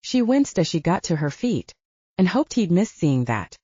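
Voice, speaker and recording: A woman's voice: on the low side, big pitch swings, moderate pace, dark tone, slightly rough, no echo, lo-fi sound. A woman narrating an audiobook, reading scripted romance fiction.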